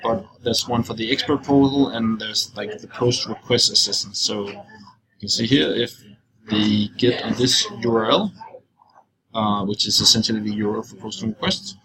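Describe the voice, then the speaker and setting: A young man giving an informal screencast walkthrough, explaining in a halting, loose way as he goes. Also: fairly low pitch, a crowd in the background. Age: young adult. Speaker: software walkthrough presenter. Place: indoors, at a computer. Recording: clearly noisy screencast recording.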